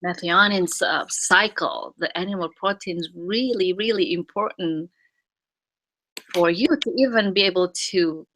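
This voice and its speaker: Bright-voiced woman giving halting advice. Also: fairly low pitch, a little hiss or hum, rough recording. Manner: halting, many fillers and restarts. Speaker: fertility expert guest. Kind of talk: casual expert advice.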